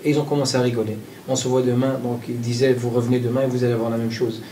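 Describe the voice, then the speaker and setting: A man from Belgium answering interview questions in a played clip, halting with many fillers and repeated words. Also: mid-range voice, flat delivery, dark tone, recorded from a distance, a little hiss or hum. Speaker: interviewee, group spokesman.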